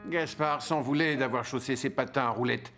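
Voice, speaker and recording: A man narrating a children's cartoon in a lively, playful storybook manner. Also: medium pitch, moderate pace. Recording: music underneath.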